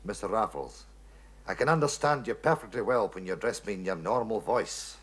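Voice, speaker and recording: An actor playing a formal, deferential but shrewd police inspector with a Scottish accent and a husky voice in a period drama. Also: male voice, on the low side, lively intonation, brisk, fairly bright tone, a little hiss or hum. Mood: dry, polite. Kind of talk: period television drama dialogue.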